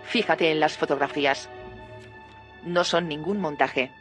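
A woman's voice: deep voice, a little slow, fairly bright tone, soft background music. Earnest, cautious woman researcher. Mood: earnest, insistent. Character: earnest, cautious female researcher reporting unsettling findings. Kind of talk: audio drama dialogue.

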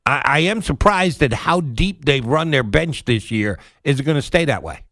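A man's voice: medium pitch, lively intonation, normal speed, a bit bright, no room sound, studio quality. A man hosting a sports radio interview, putting questions conversationally in casual, punchy short phrases.

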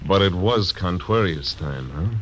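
An actor playing a tough frontier marshal who narrates dryly and speaks tersely, in 1940s radio acting style. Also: male voice, sits low, expressive, measured pace, fairly bright tone, slight background noise. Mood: wary, dry. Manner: terse, dry, laconic. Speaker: narrator and lead.

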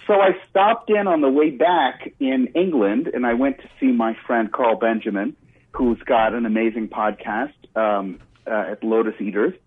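A man chatting casually as an interview guest, halting and repeating words as he thinks. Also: medium pitch. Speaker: interview guest, political commentator. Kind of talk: casual spontaneous interview chat. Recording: muffled, band-limited remote line.

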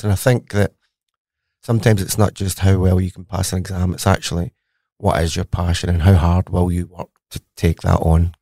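A man's voice: deep voice, gravelly, mellow, no room sound. A middle-aged man with a Scottish accent, a scientist guest in a podcast interview, telling his story eagerly and conversationally. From Scotland.

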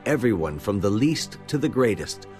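A man with a low voice narrates scripture dramatically, his pitch moving widely as the action builds. His delivery is expressive and vivid, set over a clear music score. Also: normal speed.

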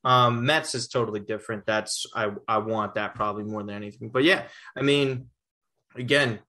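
A man's voice: fairly low pitch, steady tone, normal speed, pushed and bright. A man in his twenties hosting a casual sports podcast, talking loosely with frequent restarts. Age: 20s. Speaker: podcast host.

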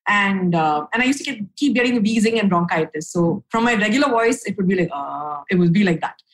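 A young adult woman from India, a podcast guest speaking frankly and upbeat, with a low voice for a woman and casual fillers. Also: expressive, fairly quick, poor audio. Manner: frank, upbeat, lots of fillers and "right?" tags. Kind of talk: candid conversational storytelling.

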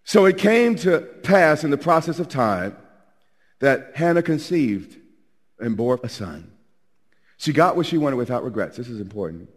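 A man preaching a sermon, with a naturally loud voice, swinging from loud to hushed. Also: medium pitch, breathy edge. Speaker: pastor.

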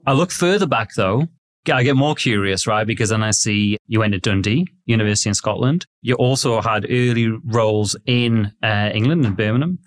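A man's voice: fairly low pitch, moderate pace. A man hosting a podcast interview in a friendly, rambling way with lots of fillers. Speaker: podcast host. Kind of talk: casual interview chat.

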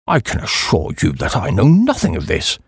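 A man's voice: mid-range voice, wildly swooping pitch, normal speed, slightly rough, no room sound, clean studio sound, heavily acted. A man voicing a haughty, easily affronted old English duke in an audiobook, stiff and formal. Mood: coldly polite. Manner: stiff, formal, coldly polite.

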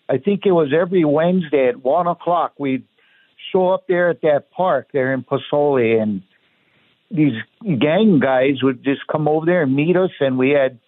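A man from Hawaii with a Hawaiian pidgin accent, a guest talking casually and relaxed, with lots of "you know". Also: on the high side, no echo. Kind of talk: casual interview talk. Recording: tinny phone line.

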